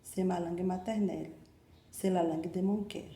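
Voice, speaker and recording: A Brazilian woman reading her own poem aloud in French with a Brazilian accent, softly and deliberately. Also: on the low side, fairly even intonation, creaky, reverberant. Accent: Brazilian Portuguese. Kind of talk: poetry reading.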